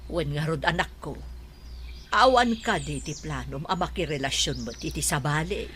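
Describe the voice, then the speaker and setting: A woman's voice: deep voice, lively intonation, moderate pace, fairly bright tone, a little hiss or hum. Swooping, meddling older mother. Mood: probing. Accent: Ilocano. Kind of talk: Ilocano radio drama acting.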